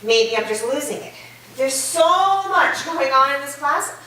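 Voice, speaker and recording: A woman's voice: high voice, measured pace, bright, forceful tone. A woman playing an uneasy, serious and skeptical college student with a plain, wary delivery in a staged reading. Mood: anxious. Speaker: actor in a staged play reading. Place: live staged reading in a reverberant room. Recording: echoey room, distant mic, faint noise.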